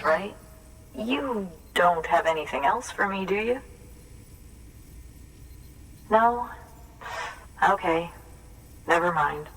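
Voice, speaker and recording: A woman's voice: fairly low pitch, distant mic, noisy background. A woman playing a wary, earnest field researcher in a video game, speaking in a hesitant, confiding way. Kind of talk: dramatic video game acting.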